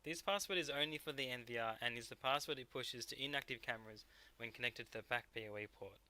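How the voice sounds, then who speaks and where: A man narrating a software tutorial step by step as a technical instructor. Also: mid-range voice, fast talker.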